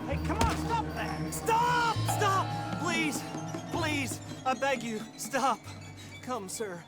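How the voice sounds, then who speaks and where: A man's voice: extremely high pitch, takes it slowly, over loud music. An actor playing a timid, imploring townsman in a Western video game. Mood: desperate pleading. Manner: imploring, deferential. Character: timid, pleading townsman in the Old West. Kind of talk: video game cutscene acting.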